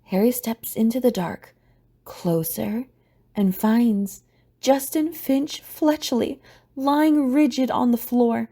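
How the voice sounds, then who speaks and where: A woman reading scripted story narration for an audio drama in a mellow, husky voice. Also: a little high.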